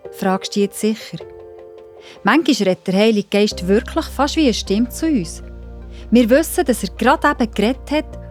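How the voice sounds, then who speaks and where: A woman with a Swiss German accent narrating a children's story in an expressive, explanatory manner. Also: mid-range voice.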